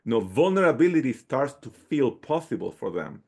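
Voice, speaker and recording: A man's voice: medium pitch, sing-song, normal speed, a little mellow. A man from the Dominican Republic answering interview questions conversationally and reflectively, often checking in with "right" and "you know". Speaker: founder of an outdoor youth program, interview guest.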